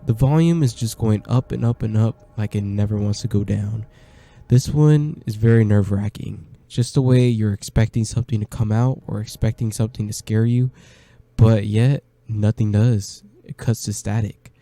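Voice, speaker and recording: A man with a very dark, mellow tone walks through a horror game in casual voice-over commentary. His delivery is plain and conversational, with small stumbles, and his voice sits a little low. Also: moderate pace. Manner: plain, conversational, slightly hesitant. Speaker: gameplay commentator.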